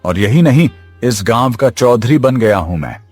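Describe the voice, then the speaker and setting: A man's voice: mid-range voice, animated, moderate pace, darkish tone, lightly acted. A man playing a boastful, spiteful younger brother and village chief in a cartoon, haughty and taunting. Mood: smug, scornful. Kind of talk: Hindi cartoon story acting. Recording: music behind the voice. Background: music.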